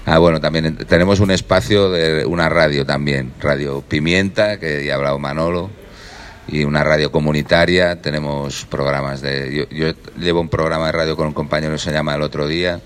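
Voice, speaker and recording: A middle-aged man with a Catalan accent and an extremely deep, low voice. He speaks in a relaxed, rambling way and corrects himself often. A faint background hiss sits behind him. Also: brisk. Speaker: activist introducing himself. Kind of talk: casual self-introduction to a group.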